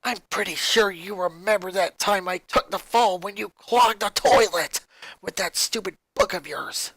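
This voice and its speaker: A man performs a young boy who is a resentful, wounded big brother in a fan story. He speaks coldly and accusingly, leaning hard on his words, with the pitch pushed up and a dry sound. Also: a little slow, a bit bright, dry recording, full character voice, low-quality recording. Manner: cold, accusing, emphatic.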